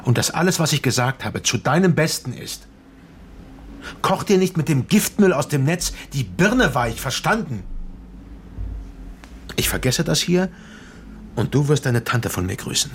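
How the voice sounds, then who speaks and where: An actor playing a hard-nosed middle-aged politician in a radio drama, stern, lecturing and condescending in a naturalistic way. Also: male voice, fairly high pitch, very animated, measured pace, pauses often, slight background noise.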